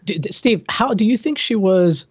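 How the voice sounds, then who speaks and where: A man's voice: high-pitched, lively intonation, normal speed, slightly rough. A man co-hosting a podcast, chatting casually with a wry, joking manner about a show. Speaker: podcast co-host. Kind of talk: casual conversational show commentary. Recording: roomy, slightly dull lo-fi audio.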